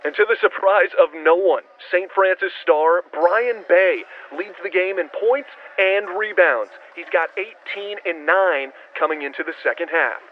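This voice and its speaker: An actor playing an excitable play-by-play basketball broadcaster in an audio drama, calling a game like sports radio. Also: male voice, sits high, a little slow, hardly any pauses. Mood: brisk, assured. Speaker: sports commentator. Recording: dull radio-style broadcast with noise.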